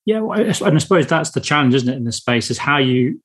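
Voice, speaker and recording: Friendly podcast host, husky. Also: male voice, medium pitch, lively intonation. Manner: friendly, halting questions with restarts. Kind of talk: casual interview hosting.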